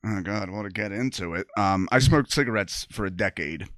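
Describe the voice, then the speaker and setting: A young man with a scratchy, rough voice, telling stories as a comedian guest. His tone is bright and forceful, with a lowish pitch. He jumps up high when quoting people, recorded close and dry. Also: a little fast, no echo. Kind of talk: casual podcast chat.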